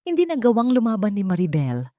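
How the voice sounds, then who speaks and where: A woman's voice: medium pitch, huge pitch swings, fast, warm tone, no room sound, clean studio sound. A woman storyteller reading a scripted dramatic story as narration.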